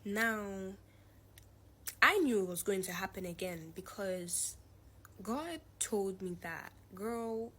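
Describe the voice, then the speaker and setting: A woman's voice: medium pitch, fairly bright tone, a little hiss or hum. A teenage young woman telling a personal story in a candid, blunt, emphatic way, repeating phrases and using slang. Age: teens, 17. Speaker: student telling own story.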